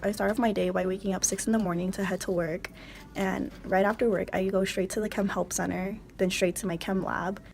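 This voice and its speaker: A woman's voice: mid-range voice, steady tone, brisk, vocal fry, darkish tone. Young woman speaking over traffic noise. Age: young adult. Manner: matter-of-fact, "like" fillers. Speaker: college student. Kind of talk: casual interview answers. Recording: vehicle noise behind.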